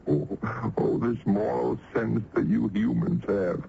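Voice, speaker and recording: Teasing supernatural stranger, theatrical, low-pitched, old radio drama, muffled recording with faint noise. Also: male voice, takes it slowly, vocal fry, faint music behind, heavily acted. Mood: mocking, amused. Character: sly mocking male supernatural stranger toying with humans.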